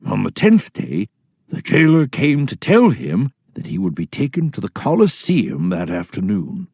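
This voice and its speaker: A man's voice: lowish voice, huge pitch swings, measured pace, darkish tone, slightly rough, dry recording, clean studio sound. A man reading scripted story narration as a narrator in a children's readers theatre.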